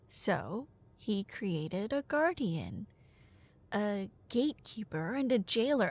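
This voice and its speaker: Woman playing nerdy gf doing asmr myth storytelling, highish voice, slow delivery, sarcastic asides, super dry close recording. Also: no echo. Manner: casual, animated, sarcastic asides between dramatic narration. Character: nerdy, teasing young girlfriend eagerly rambling about Greek myths. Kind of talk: ASMR roleplay storytelling to a listener.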